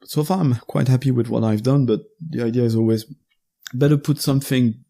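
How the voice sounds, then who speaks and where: Gravelly Belgian French man, halting. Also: medium pitch, fairly even intonation, dark tone. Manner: halting and searching for words. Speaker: guest podcaster. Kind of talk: casual conversational interview.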